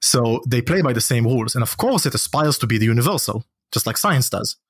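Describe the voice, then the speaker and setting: A man's voice: medium pitch, expressive, a little fast, breathy edge, no room sound. Native Hebrew-speaking man, dry close sound. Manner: discursive, often checks with "right?". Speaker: podcast guest, essayist. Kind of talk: intellectual conversational discussion.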